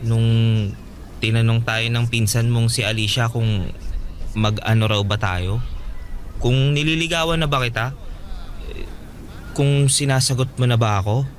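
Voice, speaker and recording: An actor playing an earnest young man who works at a fairground, speaking with halting hesitation in a radio drama. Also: medium pitch, a little hiss or hum, close to an everyday voice. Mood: hesitant.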